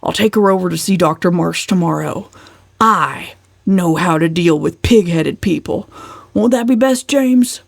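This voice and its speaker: A woman's voice: lowish voice, measured pace, vocal fry, dry recording, studio quality. A woman voicing a bossy, irritable old uncle who growls and snaps bluntly in an audiobook.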